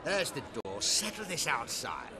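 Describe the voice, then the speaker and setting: An actor playing a fussy, easily exasperated tavern patron with a huffy, exclaiming manner. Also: male voice, fairly high pitch, huge pitch swings, unhurried, a crowd in the background. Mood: curt, annoyed.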